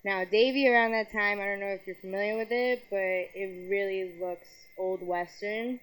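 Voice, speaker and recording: A woman's voice: mid-range voice, steady tone, moderate pace. A young woman from Colombia raised in New York, talking candidly in a casual interview, often laughing and swearing freely. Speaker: interview guest, music figure.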